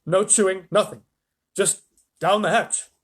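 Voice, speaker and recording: A man's voice: high voice, fairly even intonation, unhurried, voice pushed up. A man voicing an earnest male astronaut in a children's story, reporting in a plain-spoken, folksy way. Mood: shaken, relieved.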